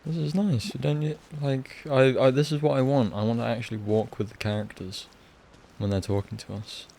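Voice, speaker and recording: A man's voice: mid-range voice, little pitch movement, moderate pace, gravelly, warm tone, a little hiss or hum. A man giving casual live gameplay commentary in a musing, hesitant way, with game music behind him. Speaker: game streamer.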